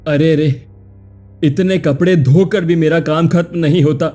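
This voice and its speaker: A man's voice: on the high side, fairly even intonation, unhurried, warm tone, soft background music, close to an everyday voice. A man playing a poor, weary, greedy washerman who exclaims and pleads in a cartoon story. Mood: weary, pleading. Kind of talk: Hindi cartoon moral story acting.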